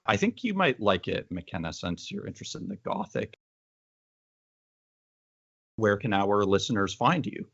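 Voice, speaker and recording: A man's voice: fairly low pitch, big pitch swings, a little slow, pauses often, a bit bright, no echo. A man co-hosting a casual interview, chatting conversationally on slightly dull audio. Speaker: interview co-host.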